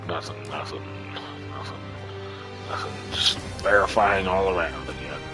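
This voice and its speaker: A man's voice: low voice, moderate pace, over music. A man doing casual live gameplay commentary as a streamer, offhand and often muttering to himself. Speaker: game streamer.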